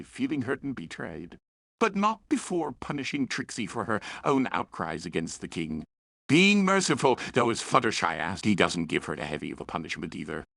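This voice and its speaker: A man's voice: fairly low pitch, gravelly, fairly bright tone, no room sound, studio quality. A man delivering a scripted review with opinionated, emphatic delivery. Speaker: story reviewer.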